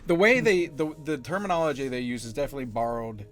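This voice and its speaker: A man's voice: medium pitch, expressive, fast, fairly bright tone, faint music behind. A man hosting a casual podcast, rambling and correcting himself, laughing easily as he talks. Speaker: podcast host.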